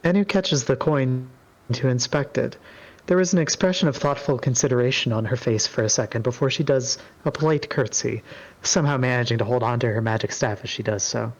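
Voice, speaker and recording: A man narrates a story in a casual dramatic reading. His voice sits at a medium pitch, on a slightly dull, lo-fi microphone. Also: a little fast. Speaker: roleplay narrator.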